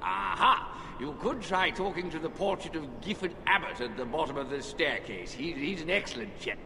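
A man's voice: fairly high pitch, expressive, normal speed, gravelly, big-room sound, noisy background. An actor playing a flustered talking portrait in a video game who stammers and restarts his words.

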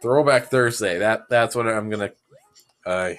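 A young man giving goofy, self-mocking commentary while playing a game, sick and sounding deeper than usual. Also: a little slow, fairly bright tone, smooth, soft background music. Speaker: gamer. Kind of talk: casual gameplay commentary.

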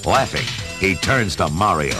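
A male storyteller with a fairly low voice. He delivers scripted narration in a measured, dramatic way with expressive pitch, set over a prominent musical score. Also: over loud music.

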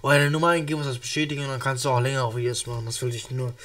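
A man's voice: medium pitch, level tone, rapid-fire, a bit bright, far from the mic. Young male reaction streamer with a cold, loose rambling delivery, sits a little back from the mic. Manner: rambling, many restarts and fillers. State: has a cold. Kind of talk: casual reaction commentary.

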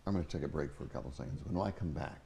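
An older man hosts a talk show in a very deep voice with a gravelly edge. He asks measured, emphatic questions in an ornate mansion living room, on a lapel mic. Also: dark tone, a little hiss or hum. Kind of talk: broadcast interview questions.

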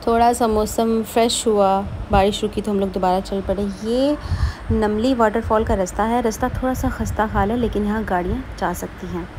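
A woman's voice: mid-range voice, fairly even intonation, moderate pace. Woman travel vlogger, mid voice, talks friendly to viewers, noisy background hiss. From Pakistan. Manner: chatty, recommending places to viewers. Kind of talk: casual travel vlog voice-over.

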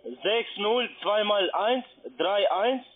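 High-pitched accented man on a phone line. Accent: foreign. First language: not German. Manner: insistent, repetitive, halting. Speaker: call centre agent posing as customer adviser. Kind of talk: unscripted phone sales pitch. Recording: tinny band-limited phone line.

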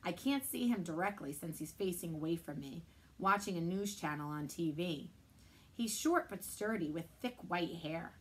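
Librarian in her thirties, steady read-aloud voice, camera mic in a library room. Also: lowish voice, husky. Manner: steady, measured storytelling. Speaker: children's librarian. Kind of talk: reading a chapter book aloud.

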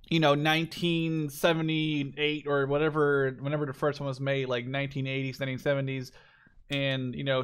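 A man's voice: medium pitch, a bit bright, clear, resonant tone. A man in his twenties giving casual, unscripted gameplay commentary, rambling and swearing freely as he shares his opinions. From Canada. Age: 20s. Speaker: gaming video creator.

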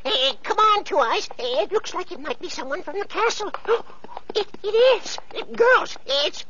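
A woman's voice: extremely high pitch, expressive, normal speed, noisy background. Bossy scheming mother. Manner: bossy, fawning to royalty. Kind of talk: 1940s radio acting. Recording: lo-fi old radio recording.

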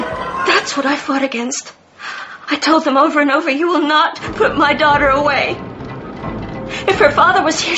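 A woman's voice: high-pitched, dull audio. A woman playing an anxious, protective mother under great strain in a radio drama, hesitant and emotional. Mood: desperate, angry. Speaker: actor.

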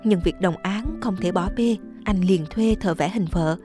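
A woman giving scripted storybook narration as the narrator of an animated folktale. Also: medium pitch, measured pace, soft background music.

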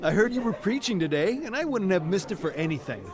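Male: steadfast believer friend, fairly high voice, radio drama, clear crowd murmur behind. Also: very animated, quick pace. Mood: warm, sincere.

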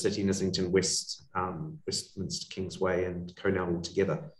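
A man with a New Zealand accent speaking as a guest on a video call, explaining his work. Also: on the low side. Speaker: college executive, guest speaker. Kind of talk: explanatory webinar talk. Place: indoor room, on a video call. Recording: roomy, distant video-call microphone.

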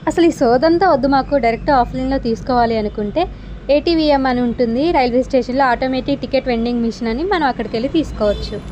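A woman's voice: sits high, slight background noise. A young adult woman, narrating an informal travel vlog and mixing in English terms. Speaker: travel vlogger.

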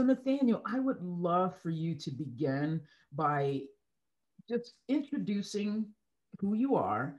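A woman's voice: on the low side, a little mellow, rough recording. A middle-aged woman hosting a podcast interview over a video call, enthusiastic and gushing, often repeating herself. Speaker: podcast host. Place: home studio, video call. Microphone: large studio mic with earbuds.

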